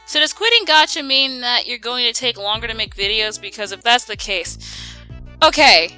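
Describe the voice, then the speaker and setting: A woman chatting casually as she answers viewer questions, animated and slangy, given to exclaiming. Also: a little high, normal speed, soft background music, poor audio. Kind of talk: casual chat Q&A.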